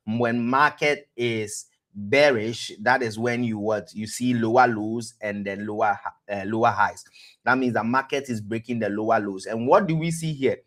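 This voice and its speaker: A man with a West African accent hosting a live-streamed trading lesson, pausing to ask "what?" and answering himself, often repeating words as he goes. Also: mid-range voice, a bit bright. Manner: quizzes listeners with rhetorical "what?" prompts; repeats words and restarts phrases. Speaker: trading educator, stream host.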